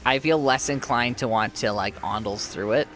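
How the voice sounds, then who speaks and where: A man chats casually through a live game stream, answering viewers between plays. His voice is mid-pitched and getting worn as his throat gives out, and faint game music plays behind him. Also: moderate pace, fairly bright tone. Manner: chatty, reads and answers viewers. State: losing his voice, sore throat. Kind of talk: casual live-stream chat while playing.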